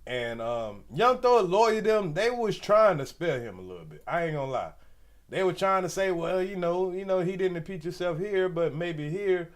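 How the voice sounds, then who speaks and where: A man's voice: high voice, expressive, moderate pace. A man in his forties hosting a casual livestream commentary, slangy and rambling, with a stuffy nose. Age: 40s. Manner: loose, rambling, slangy, talks straight to viewers. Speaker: commentary channel host.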